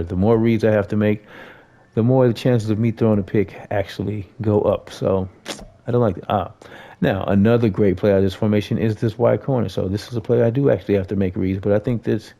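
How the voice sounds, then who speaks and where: A man's voice: fairly low pitch, creaky, warm tone. An American man giving a casual, conversational gameplay tutorial, talking informally to viewers as a host.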